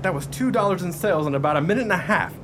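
A man's voice: high voice, animated, very fast, background noise. A man acting in a radio play as a brash, bossy veteran ice cream salesman with a hustler's patter. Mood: brisk lecturing.

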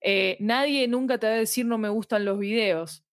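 A woman's voice: fairly high pitch, normal speed, dry recording, studio quality. Articulate woman explaining in conversation. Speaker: interview guest, fiction podcast specialist. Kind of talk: conversational discussion.

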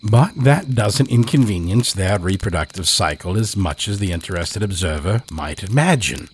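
A man narrating scripted horror fiction in a measured, deliberate way. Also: low voice, expressive, slight background noise. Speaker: storyteller, narrator.

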